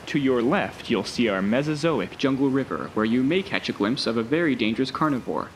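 A man playing a recorded tour guide in an audio drama, with measured, presentational narration. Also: mid-range voice, animated, slight background noise, lightly acted. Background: music.

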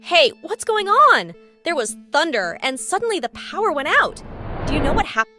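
A woman's voice: sits high, sing-song, normal speed, music in the background. A woman voicing a chatty, flustered hospital patient in an amateur cartoon. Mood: puzzled.

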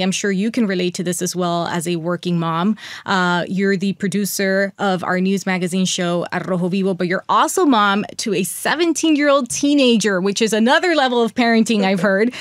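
A young adult woman hosting a podcast, articulate and smooth as she sets up questions for guests. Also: mid-range voice, dry recording. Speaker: podcast host. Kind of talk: podcast interview.

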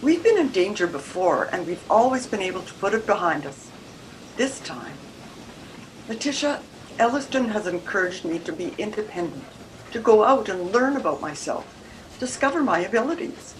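An older woman plays an earnest Edwardian wife in a radio mystery. She speaks formally and carefully at a middling pitch, troubled but independent-minded, over a slightly noisy video call. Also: big pitch swings, normal speed, lightly acted. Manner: formal, careful period diction. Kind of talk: radio mystery play acting. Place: room at home, video call. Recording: video call with background noise.

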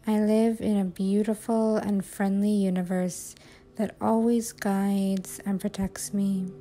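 A woman guiding a sleep hypnosis and meditation in a soothing, unhurried, measured way. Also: mid-range voice, little pitch movement, warm tone. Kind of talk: guided sleep hypnosis, affirmations. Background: music.

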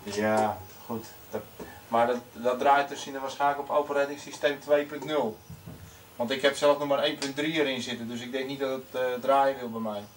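A man's voice: mid-range voice, level tone, a little fast. A man in casual technical chat as an amateur radio operator, hesitant and often repeating himself. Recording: slightly noisy, distant microphone.

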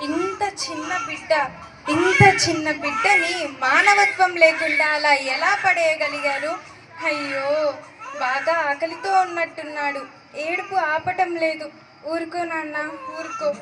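A woman's voice: extremely high pitch, heavy background noise. A woman voicing a destitute, pleading woman in an audio drama, crying and begging as she talks. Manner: tearful, pleading, wailing. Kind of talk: melodramatic audio drama acting. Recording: background music under voice.

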